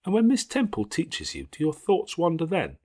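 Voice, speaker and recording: A man's voice: a little high, wildly swooping pitch, normal speed, a little mellow, pitched above the performer's own voice. A man voicing a passionate, defiant young girl in an audiobook, speaking urgently and emphatically in formal Victorian diction. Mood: indignant. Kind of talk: audiobook character acting.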